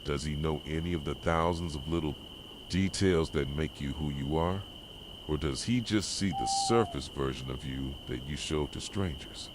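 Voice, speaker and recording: A man playing a possessive, wounded yandere boyfriend in an intense, pleading ASMR roleplay monologue, with a soft voice. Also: a bass voice, normal speed. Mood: possessive, insistent. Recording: noisy track with night crickets and owls. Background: night ambience of crickets, owls and insects.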